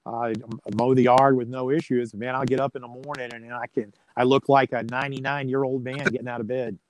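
A man with a middling-pitched voice chats as a guest in a relaxed interview. He is folksy and plain-spoken, with a joking way of putting things. There is some noise and clicking behind him. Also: brisk, rough recording. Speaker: sports play-by-play announcer as interview guest. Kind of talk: casual interview chat.

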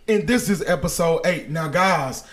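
A hoarse young man hosting a casual podcast chat, telling stories with lots of laughter. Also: a little high, normal speed. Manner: animated storytelling, laughing often. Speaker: podcast host.

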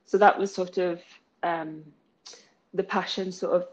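A woman's voice: lowish voice, steady tone, a little slow, lo-fi sound. A woman in her thirties with a Welsh accent, chatting casually in an interview with lots of fillers and giggles. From South Wales. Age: 30s. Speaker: interviewee, new model.

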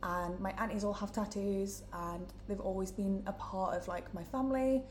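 A woman's voice: mid-range voice, fairly even intonation, normal speed, darkish tone, slight background noise. A young woman from Newcastle with a Geordie accent, chatting casually as an interview guest in a room, with lots of "like" and "um". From Newcastle, England. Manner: chatty, many fillers like "like" and "um". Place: indoor room, in person.

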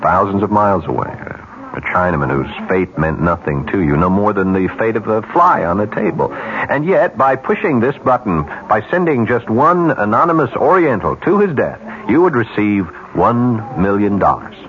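An American actor playing a scheming, cynical ad executive in a 1970s radio drama, sardonic and given to drawn-out rhetorical musing. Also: male voice, on the low side, sing-song, fairly bright tone. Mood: sly, musing. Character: scheming, cynical male ad executive, slyly confident. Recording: dull lo-fi 1970s radio recording.

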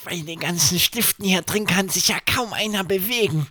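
Man voicing a husky, grumbly little plush rabbit. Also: high voice, moderate pace, sharp tone, no echo, voice pushed up. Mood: grumbling, relieved. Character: chatty, unfazed little plush rabbit come to life. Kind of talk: children's bedtime story character.

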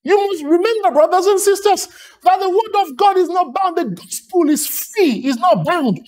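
A man's voice: extremely high pitch, animated, moderate pace, a little mellow. A man from Lagos with a Nigerian accent, preaching a sermon with emphatic, rhythmic repetition and long lists.

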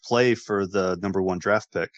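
An American man with a low voice chats casually as a sports podcast co-host. He comes across as agreeable, feeling his way through sentences and repeating words. The recording is dry, without room sound. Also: fairly even intonation, normal speed, no room sound, lo-fi sound. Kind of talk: casual chat.

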